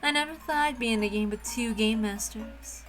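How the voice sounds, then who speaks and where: Ancient witch in a girl's body, performed by a woman: dry, taunting, theatrical, higher-pitched, faint music underneath. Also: normal speed, fairly bright tone, close to an everyday voice. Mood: coolly amused. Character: coolly menacing thousand-year-old witch in girl's form. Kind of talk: amateur dramatic reading of a visual novel.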